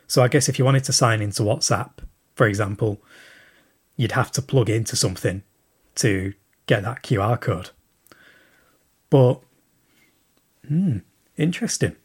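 A man with a husky, slightly breathy voice leaves a long recorded message for a show. He thinks aloud as he goes, circling back with plenty of ums. His voice sits at an ordinary middle pitch. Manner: rambling, thinking aloud with many fillers. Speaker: listener sending in a question.

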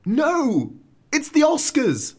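An actor playing a despairing, anxious famous comedian with no humour left, hesitant and melodramatic, in a scripted audio comedy. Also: male voice, extremely high pitch, wildly swooping pitch, slow pace, full character voice. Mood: panicked.

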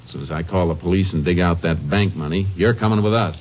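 An actor playing a stern, shrewd Texas Ranger who also narrates, clipped and dry, with an American accent in an old-time radio detective drama. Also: male voice, sits low, quick pace, a little hiss or hum, lightly acted. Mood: stern, pressing. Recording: muffled old radio recording with music.

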